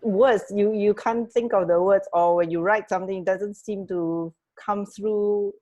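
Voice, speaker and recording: A woman co-hosting a casual radio chat, speaking in a halting way and repeating words as she searches for phrasing. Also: medium pitch, fairly even intonation, dark tone, muffled recording. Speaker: radio co-host.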